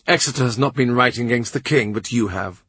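A man's voice: medium pitch, fast, a bit bright, breathy edge, no room sound. A man voicing a shrewd middle-aged royal minister who talks in a dry, cutting, sardonic way. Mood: cool, sardonic. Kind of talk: audiobook character reading.

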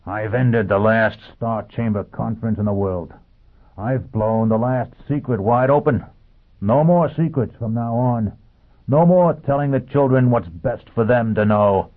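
A fanatical fugitive from a science fiction story , acted out by a male reader, savage and defiant. He exhorts in short bellowed bursts with a gravelly rasp. The recording is thin and phone-like, with a narrow band. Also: on the low side, moderate pace.

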